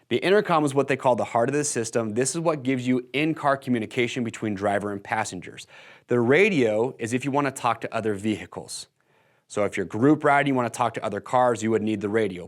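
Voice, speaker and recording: An American man in his thirties giving an enthusiastic, casual product walkthrough to camera in a conversational sales style. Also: medium pitch. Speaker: product video presenter. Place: indoor workshop-style studio set.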